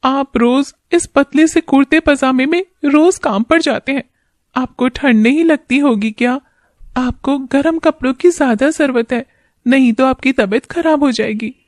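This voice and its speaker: An anxious, caring village mother from a cartoon fable, put on by a woman narrator. Her voice is pitched up high and sounds plaintive and tender. The sound is dry. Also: measured pace, darkish tone, no room sound. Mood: caring, concerned. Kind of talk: animated moral story acting.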